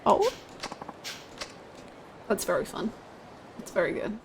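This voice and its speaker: A woman in her twenties chatting casually as she livestreams a video game, with an expressive, giggly, exclamatory way of talking. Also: mid-range voice, slow pace, noisy background. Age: 20s. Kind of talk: casual livestream chat over gameplay, reading out comments. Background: video game music.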